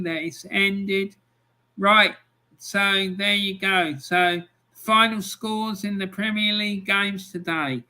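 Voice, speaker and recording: A man's voice: high-pitched, poor audio. A middle-aged man hosting a football live stream, chatting casually, reading out scores and viewer comments with plenty of ums. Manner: relaxed and chatty, with many ums.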